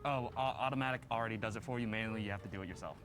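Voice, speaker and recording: A man with a lowish voice answers questions quickly and offhandedly during group banter. He sounds laid-back. Music plays behind him. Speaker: game show contestant.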